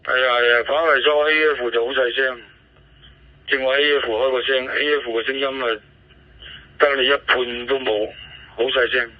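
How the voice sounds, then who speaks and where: A man's voice: steady tone, moderate pace. A man with a Hong Kong Cantonese accent talking casually as a ham operator over two-way digital radio. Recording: muffled low-fidelity digital radio transmission.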